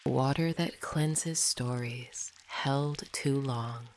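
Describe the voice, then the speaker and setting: A woman guides a meditation in a soothing, hushed, often near-whispered delivery. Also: rumbling low, very slow, slight background noise. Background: dripping, pouring water sounds.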